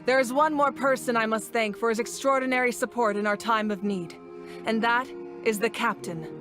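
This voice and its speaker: A woman playing a dignified, solemn leader of a nation in a video game, speaking in formal, measured oratory. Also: fairly high pitch, steady tone, music in the background, close to an everyday voice. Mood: stately. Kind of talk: scripted video game voice acting.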